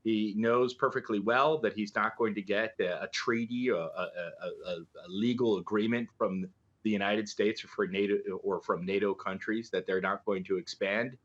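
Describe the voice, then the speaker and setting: Low-pitched male analyst on a dull link. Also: fairly even intonation, moderate pace, a bit bright. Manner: hesitant, conversational, stressing points with rhetorical questions. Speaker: political analyst and journalist guest. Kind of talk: live news interview commentary.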